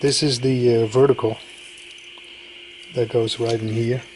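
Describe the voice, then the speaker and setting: Male hobbyist demonstrator, mid-range voice, informal and slightly halting, some background noise. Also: mid-range voice, steady tone. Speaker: radio enthusiast presenting equipment. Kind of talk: casual hands-on demonstration narration.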